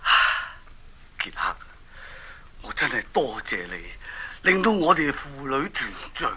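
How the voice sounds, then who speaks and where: A man's voice: medium pitch, very animated, pushed and bright, heavily acted. An actor with a Hong Kong Cantonese accent playing a kindly, sighing old king in a children's radio drama. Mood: tender, moved. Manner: slow, sighing, theatrical. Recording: hissy 1980s cassette recording.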